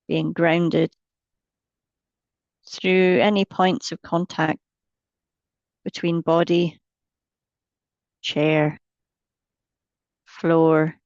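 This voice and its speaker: A woman leading a guided meditation as a mindfulness teacher, gently and without hurry, leaving long pauses between short phrases. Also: lowish voice, no room sound, lo-fi sound.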